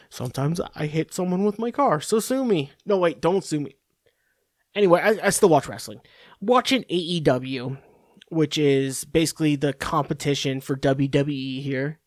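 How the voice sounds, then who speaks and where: A man's voice: fairly high pitch, moderate pace, darkish tone, no echo. Guy ranting solo on his podcast, swears a lot, rambles, dead dry close sound. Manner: profane, rambling, sarcastic. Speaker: podcast host. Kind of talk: casual ranting monologue.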